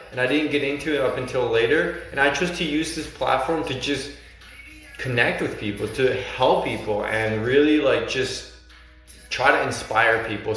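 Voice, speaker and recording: A man's voice: mid-range voice, a bit bright. A man in his thirties from Argentina, a life coach chatting earnestly with viewers on a phone livestream from his living room. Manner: earnest, encouraging, reads out viewer comments. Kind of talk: casual livestream chat with viewers. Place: bright living room indoors. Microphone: phone at arm's length. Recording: very echoey room with faint music.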